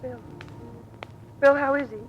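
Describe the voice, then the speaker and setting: Anguished young woman, very high voice, vintage radio drama, faint noise. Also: level tone, a little slow, lo-fi sound. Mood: anxious, fighting tears. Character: distraught, brave young sister of an injured airman. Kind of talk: old-time radio drama acting. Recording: faintly noisy old radio recording.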